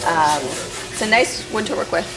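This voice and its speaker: A woman of thirty with a slightly low voice chats casually. She is a student talking to a camera in a workshop. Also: normal speed, vocal fry, low-quality recording. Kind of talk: casual chat. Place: workshop indoors.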